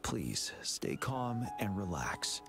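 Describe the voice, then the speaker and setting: A man's voice: fairly low pitch, very animated, slow, creaky, full character voice. An actor playing a composed, courteous male general in a game, speaking formally. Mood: reassuring. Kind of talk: game voice acting. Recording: game dialogue with music underneath.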